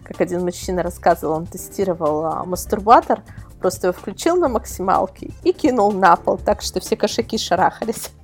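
A woman's voice: on the low side, lively intonation, fairly quick, slightly rough, soft background music. A woman speaking as an interview guest, explaining things in an informal, rambling way with emphatic repetition. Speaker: guest, website editor and product reviewer.

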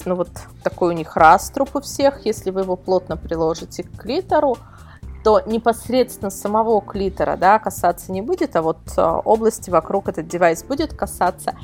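A woman with a mid-pitched voice talks as an invited podcast guest. She explains in a casual, wordy way, adding qualifiers as she goes. Music plays behind her. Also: darkish tone. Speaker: invited guest, editor of an adult toy website.

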